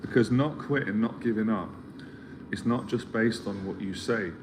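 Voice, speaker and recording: A man's voice: lowish voice, normal speed. A middle-aged man giving a motivational talk as a life mentor, earnest and rambling, often repeating himself as he searches for words. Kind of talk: motivational live stream talk. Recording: clear background ambience noise.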